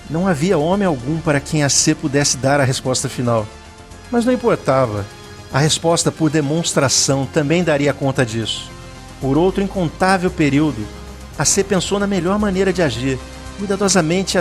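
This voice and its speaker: A Brazilian man narrating a scripted dramatic story in a solemn, deliberate manner. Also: a little high.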